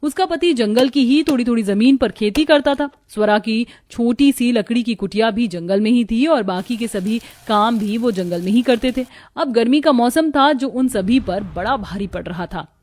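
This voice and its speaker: A woman's voice: a little high, moderate pace, hardly any pauses, a little mellow. A woman narrating a scripted Hindi moral story as the storyteller.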